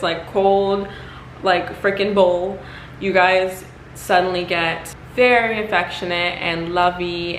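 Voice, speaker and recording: A woman's voice: on the low side, a bit bright, a little hiss or hum. Girl losing her voice, rambly casual chat w lots of "like", kinda echoey room. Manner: chatty, heavy use of "like" and "you know". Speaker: astrology YouTuber. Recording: echoey room, faint ambience.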